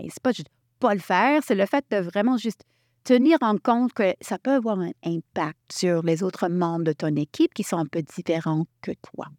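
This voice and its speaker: A woman from Quebec leading a workshop, talking in an animated way and restarting her sentences often. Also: mid-range voice, no echo, clean studio sound. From Quebec, Canada. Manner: animated, thinks aloud with many restarts. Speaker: workshop facilitator. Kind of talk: workshop talk.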